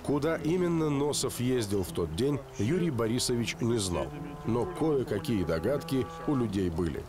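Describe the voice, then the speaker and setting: A man reading scripted narration for a crime documentary in an even, serious tone. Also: medium pitch, normal speed. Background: background music.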